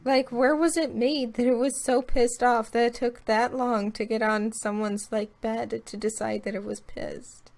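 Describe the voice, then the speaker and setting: A young woman in a casual chat, playful and opinionated, slipping in jokes as she goes. Her voice sits a little higher than most women's. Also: fairly even intonation, unhurried, vocal fry, hardly any pauses, darkish tone. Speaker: guest co-host.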